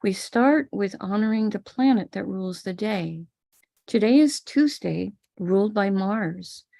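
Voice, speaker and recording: An American woman teaching a ritual on a live stream, calmly explaining each step. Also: medium pitch, unhurried, creaky. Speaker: spiritual teacher leading a ritual. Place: home room with a small altar table.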